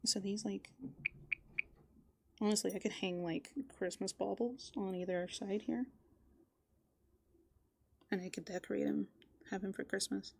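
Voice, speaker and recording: A soft-spoken woman doing rambling, playful ASMR as a raccoon, musing aloud and often slipping into whispers. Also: mid-range voice, steady tone, measured pace, gravelly, long pauses. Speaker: ASMR host. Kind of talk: furry roleplay ASMR, improvised rambling.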